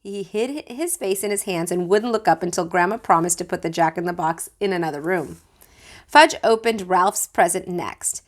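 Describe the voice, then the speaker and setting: A woman reading a children's book aloud like a teacher, with expressive storytelling. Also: fairly low pitch, normal speed.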